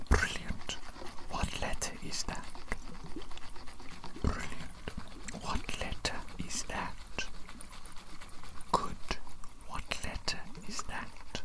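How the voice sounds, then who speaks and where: A performer playing an eccentric, doting evil doctor in a whispered ASMR roleplay, breathy and playful, repeating phrases soothingly. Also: extremely slow, pauses often. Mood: quiet, methodical.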